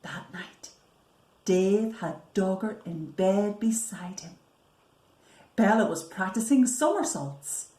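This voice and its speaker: A woman reading a picture book to young children as a storyteller, deliberate and expressive, often hushed for effect. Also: mid-range voice. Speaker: library storyteller. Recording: roomy, echoing sound.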